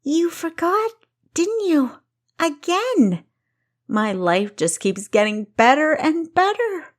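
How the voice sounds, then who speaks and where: A woman's voice: high-pitched, big pitch swings, slow, voice pushed up, exaggerated voice. A woman reading a storybook voices a furious, sarcastic younger sister who snarls her words. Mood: sarcastic shrill.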